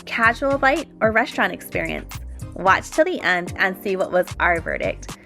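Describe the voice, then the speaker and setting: A woman hosting a travel vlog with a hoarse voice from losing it, touring an outdoor neighborhood market and talking enthusiastically about the food and local products. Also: medium pitch, very animated, normal speed. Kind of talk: casual vlog narration. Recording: loud background music. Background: music.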